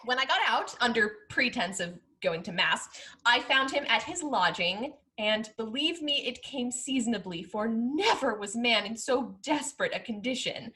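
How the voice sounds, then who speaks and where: Woman playing a bold, high-voiced mischievous young lady. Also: bright, forceful tone, poor audio. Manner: theatrical, emphatic, archaic diction. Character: bold, mischievous young Spanish gentlewoman, scornful of men. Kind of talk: period stage comedy acting.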